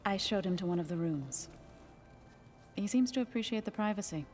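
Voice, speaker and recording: A serene game lodge keeper, voiced by a woman, speaks gently with a long reverb and soft music behind her. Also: mid-range voice, a little fast, natural voice. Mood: calm. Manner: gentle, proverb-like calm.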